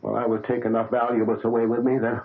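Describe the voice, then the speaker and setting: An actor playing a guilt-ridden older surgeon who stammers and hesitates in a 1970s radio drama. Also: male voice, medium pitch, monotone, fast, lightly acted. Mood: anxious. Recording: narrow phone-like old radio broadcast, faint hiss.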